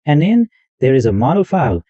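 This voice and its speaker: A man hosting a tech tutorial, walking through software step by step and repeating himself as he goes. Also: fairly high pitch, sing-song, a little fast, no room sound, dull audio. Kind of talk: screen-recorded software walkthrough.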